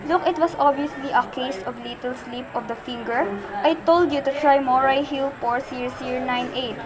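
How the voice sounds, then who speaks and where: A woman's voice: high-pitched, low-quality recording. A woman playing an anxious, sickly, demanding housewife in an amateur radio play, fretful and insistent.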